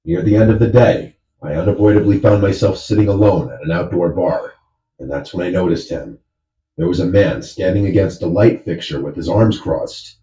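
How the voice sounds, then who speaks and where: A man reading a horror story in measured, even scripted narration. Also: sits low. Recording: dull, roomy, distant audio.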